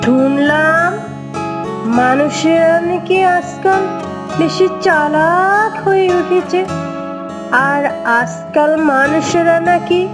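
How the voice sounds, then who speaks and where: A woman's voice: very high-pitched, takes it slowly, music in the background. A woman voicing a playful, teasing giant sweetheart in a cartoon, coy and sing-song.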